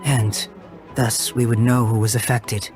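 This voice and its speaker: Man as composed, mid-pitched game strategist. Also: flat delivery, music in the background, natural voice. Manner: measured, explanatory. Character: calm, analytical young male strategist. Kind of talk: video game voice acting.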